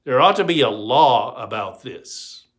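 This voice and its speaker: A man's voice: mid-range voice, lively intonation, unhurried, fairly bright tone. A man voicing an exasperated, anxious small-town mayor in a storybook reading, with an indignant, hesitant manner.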